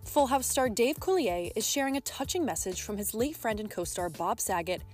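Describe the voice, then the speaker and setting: A woman's voice: fairly high pitch, animated, moderate pace, darkish tone. Woman narrating entertainment news over music.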